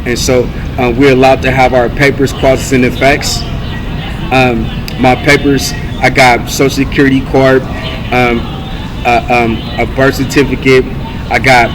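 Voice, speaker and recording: A man from California in a rambling monologue, halting with many fillers, repeating phrases and listing things one by one. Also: mid-range voice. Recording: steady background noise.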